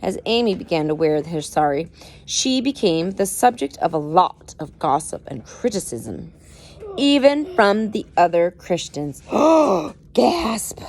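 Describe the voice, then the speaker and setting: A woman's voice: lowish voice, big pitch swings, measured pace, darkish tone. A woman reading a book aloud expressively, with dramatic emphasis and informal explanatory asides. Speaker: parent reading aloud to children.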